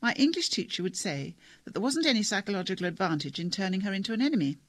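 A narrator voicing a tearful, anxious teenage girl who keeps apologizing, in a high, breathless, rushed way. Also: sing-song, fairly bright tone, no room sound. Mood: earnest.

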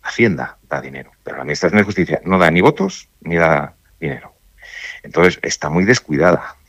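Deep-voiced man, halting and thoughtful. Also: expressive, fairly bright tone, breathy edge, no echo. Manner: thoughtful, halting, many restarts and fillers. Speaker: judge interviewed on radio. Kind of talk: radio interview answers.

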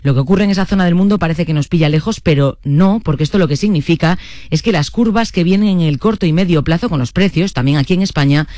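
A woman's voice: on the low side, fast talker, dark tone, dry recording, studio quality. A woman with a Castilian Spanish accent presenting a live radio news bulletin.

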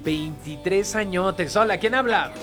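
A man's voice: high voice, unhurried. A man with a Mexican accent hosting a morning radio show, bantering and teasing callers in a playful way. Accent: Mexican Spanish. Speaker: radio host. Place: radio studio. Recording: radio broadcast with music underneath.

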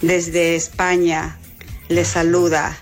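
A woman's voice: lowish voice, level tone, slow, soft background music. A woman with a coastal Ecuadorian accent, a radio listener casually sending greetings and requests.

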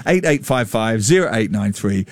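A man in his fifties talks on a radio show in a mid-pitched, loud, lively voice. He tells jokey stories in a chatty way. The sound is close and dry. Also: moderate pace, no room sound. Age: 50s. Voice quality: slightly loud voice. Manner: chatty, jokey, animated storytelling. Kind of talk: casual radio talk. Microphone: studio microphone.

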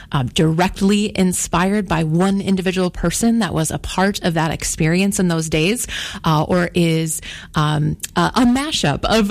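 A woman's voice: on the low side, creaky. A woman speaking as a theater company guest on a radio interview, earnest and thoughtful, with many fillers.

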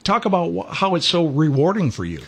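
A man's voice: fairly high pitch, big pitch swings, fairly quick, vocal fry, fairly bright tone, breathy edge. A man hosting an interview, asking questions in a casual broadcast style. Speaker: interviewer, host.

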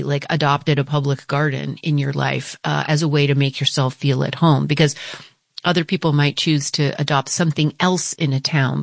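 A woman with a very low voice moderates a panel, introducing each guest and circling through her questions, restarting phrases as she goes. The sound is dry and close, with little room echo. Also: gravelly.

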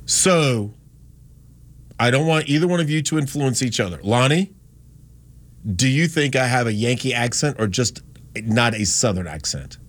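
A man's voice: medium pitch, animated, moderate pace, long pauses. Chatty self-mocking radio host man. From Gastonia, North Carolina. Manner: rambling, self-deprecating, chatty. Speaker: radio talk show host. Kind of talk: casual talk radio chat. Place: radio studio. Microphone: studio microphone.

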